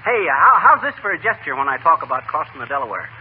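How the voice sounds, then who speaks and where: On a tinny, noisy 1940 radio comedy recording, a blustering small-town American husband. He is pompous and folksy, with a high, animated voice full of boasts. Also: quick pace. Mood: self-important. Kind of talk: 1940s radio comedy acting.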